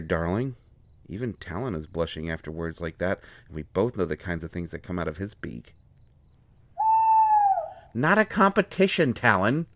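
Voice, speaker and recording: A man playing an indignant, hurt healer mage in a fantasy roleplay audio, expressive, sarcastic and exasperated. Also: deep voice, no echo. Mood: apologetic, soothing, then defensive. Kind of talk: dramatic roleplay audio acting.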